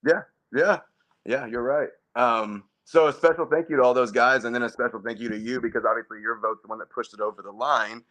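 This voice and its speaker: Bright-voiced man as interview guest. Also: medium pitch, fairly even intonation, brisk, rough recording. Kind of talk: casual interview chat.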